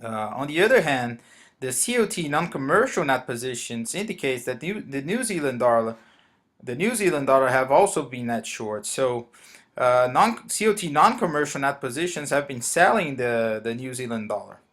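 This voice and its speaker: A man with a Brazilian Portuguese accent talks through a chart with many pauses and restarts. His pitch sits in the middle of the male range. He speaks English as a second language, carefully and without polish, as if recording at his desk. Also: lively intonation, fairly bright tone. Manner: hesitant, many fillers and restarts. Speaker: forex trader. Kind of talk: screen-recorded trading walkthrough.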